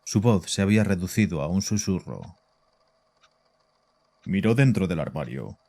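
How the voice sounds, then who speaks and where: An actor narrating a horror audio drama and playing its composed psychiatrist, measured and grave in a deep, dark voice. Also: male voice, big pitch swings, gravelly, full character voice. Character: composed, probing male psychiatrist who also narrates. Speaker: narrator and doctor.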